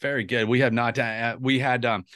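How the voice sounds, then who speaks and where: Bright, dry-voiced rambling male host. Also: mid-range voice, steady tone, normal speed, dry recording. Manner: rambling, restarts often, chuckles. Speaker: podcast host. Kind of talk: casual interview chat.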